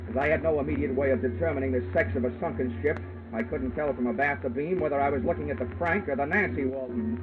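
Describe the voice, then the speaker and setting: An actor playing a wry, hard-boiled insurance investigator, wisecracking and dry, in old-time radio detective style. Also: male voice, medium pitch, fast, few pauses, close to an everyday voice. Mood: wry narrating. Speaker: lead actor and narrator. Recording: dull vintage radio recording with music.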